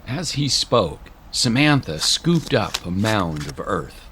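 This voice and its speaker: A man's voice: lowish voice, big pitch swings, slow pace, fairly bright tone, a little hiss or hum. A man reading scripted story narration as the narrator of a drama.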